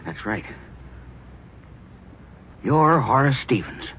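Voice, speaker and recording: A man's voice: medium pitch, animated, slow pace, slight background noise. An actor playing a pushy, brusque male newspaper editor who barks orders in a 1940s radio drama. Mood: stunned. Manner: blunt, barking orders. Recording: dull old radio recording.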